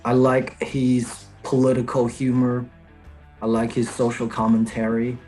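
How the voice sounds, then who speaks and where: A man's voice: medium pitch, a little slow, over music. Chinese-accented man chatting hesitantly. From China. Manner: hesitant, many fillers, animated storytelling. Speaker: guest comedian. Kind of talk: casual chat.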